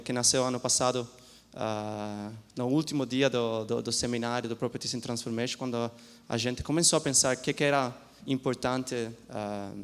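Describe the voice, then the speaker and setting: A male law professor addresses a seminar at an ordinary middle pitch. He speaks haltingly, repeating words and restarting often as he mixes languages. His voice rings with the long reverb of a lecture hall. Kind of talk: seminar talk.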